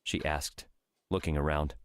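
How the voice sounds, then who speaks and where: A man narrating a scripted fiction audiobook in a measured storytelling manner. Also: extremely low pitch, steady tone, clear, resonant tone.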